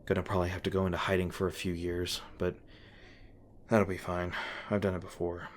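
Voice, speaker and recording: Deep voiced guy acting as a tired monster hunter, gruff but caring, halting n sweary, roleplay audio w faint background ambience. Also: completely flat delivery, natural voice. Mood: resigned. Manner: halting, sardonic, swearing, reassuring. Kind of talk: audio roleplay, one-sided dialogue to the listener. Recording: noisy ambient sound effects behind voice. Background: ambient sound effects.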